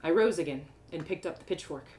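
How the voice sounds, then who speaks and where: Expressive woman storyteller with lively pitch. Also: quick pace. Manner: expressive, playful. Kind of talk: animated first-person fantasy story narration.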